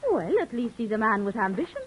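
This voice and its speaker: A woman acting a prim, disapproving young woman in a 1940s radio drama, speaking crisply and scoldingly. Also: on the high side, big pitch swings, quick pace, a little hiss or hum. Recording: old radio broadcast.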